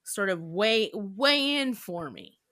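A woman's voice: medium pitch, lively intonation, slow, fairly bright tone, no room sound, studio quality. Chatty laughing woman podcast host. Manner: chatty, laughing, trailing off into asides. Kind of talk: casual chat.

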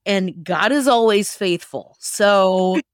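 A woman's voice: mid-range voice, slow, no echo, studio quality. A woman podcast co-host chatting from home, joking at her own expense, laughing often and restarting her sentences. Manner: self-deprecating, laughing, rambling restarts. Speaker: podcast co-host and sketch writer. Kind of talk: casual chat.

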